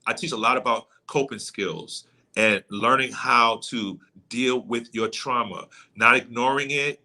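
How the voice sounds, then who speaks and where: A man's voice: mid-range voice, unhurried, vocal fry, bright, forceful tone. A man speaking as a pastor guest in an interview, teaching conversationally and repeating key points as he explains. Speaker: guest, pastor and trauma coach.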